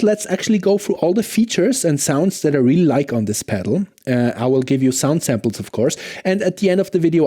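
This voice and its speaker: A man's voice: a little high, warm tone. A man hosting a casual product review, talking conversationally and often checking in with a questioning "right?". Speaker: gear reviewer and video host.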